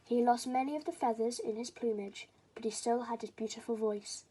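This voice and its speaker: A woman's voice: a little high, a little fast, mellow, husky, rough recording. A woman reading a children's story aloud as scripted storybook narration.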